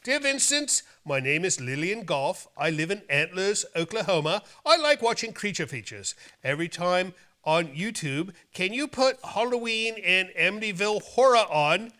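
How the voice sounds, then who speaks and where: A man with a high, forceful voice works as a show host. He reads viewer mail and banters casually, with a playful, theatrical flair. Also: sing-song, normal speed. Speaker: host of a horror movie show.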